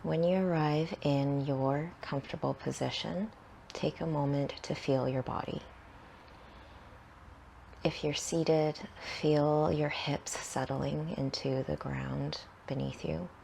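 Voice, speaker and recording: Slow, low, creaky-voiced woman guiding meditation. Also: steady tone, mellow, a little hiss or hum, low-quality recording. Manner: soothing, unhurried, with long pauses between short phrases. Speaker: meditation guide. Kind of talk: guided meditation narration.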